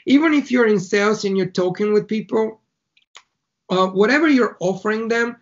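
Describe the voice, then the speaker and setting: A man's voice: high voice, normal speed, dull audio. A man from Bulgaria with a Bulgarian accent, a guest in an interview who talks through his ideas with analogies, in short phrases with small restarts. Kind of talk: casual conversational interview.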